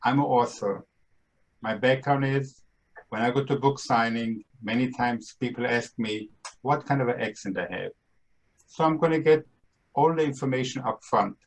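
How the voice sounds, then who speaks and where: A man's voice: mid-range voice, moderate pace, low-quality recording. A man in his seventies with a Brooklyn accent, hosting a podcast in a playful, emphatic, upbeat way. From Austria and Brooklyn, New York. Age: 70s. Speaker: podcast host. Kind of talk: casual podcast monologue.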